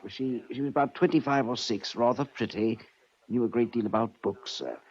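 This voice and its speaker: Husky, anxious manservant. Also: male voice, mid-range voice, moderate pace. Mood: hesitant, deferential. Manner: hesitant, stammering, respectful. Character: anxious, deferential, loyal manservant of an old collector. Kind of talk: 1940s radio drama acting. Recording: dull vintage radio recording.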